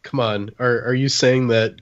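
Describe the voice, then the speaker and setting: A man of medium pitch talks in a slightly muffled, lo-fi recording. He is a casual, slangy podcast panelist who tosses out wisecracks as he chats. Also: steady tone, moderate pace. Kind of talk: casual comedic chat. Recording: slightly dull, lo-fi microphone sound.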